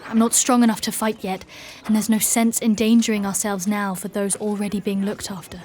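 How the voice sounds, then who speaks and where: An actress plays a guarded warrior woman from a dark fantasy audio drama. She is solemn and formal, weighing each phrase with measured gravity. Her voice sits at a middle female pitch. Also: flat delivery, slight background noise, natural voice. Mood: cold, resolute. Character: grave, guarded warrior woman, a knightly mentor figure.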